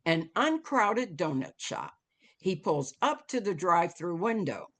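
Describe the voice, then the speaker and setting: A woman's voice: lowish voice, sing-song, measured pace, a bit bright. A woman reading scene headings and action from a screenplay as dramatic scripted narration. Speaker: stage directions reader.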